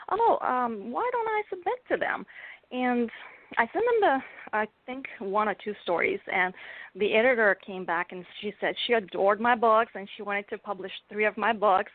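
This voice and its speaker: A woman's voice: medium pitch, no room sound. A woman from Bulgaria, a native Bulgarian speaker, chatting as a guest author in an interview, laughing often and filling gaps with ums. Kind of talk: casual interview chat. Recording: dry tinny phone-like line.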